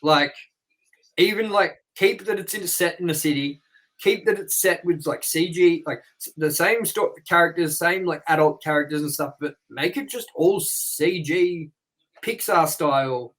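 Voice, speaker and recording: A man's voice: on the high side, a little slow, a bit bright. A man co-hosting a casual movie review chat, rambling with lots of fillers and "you know". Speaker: co-host.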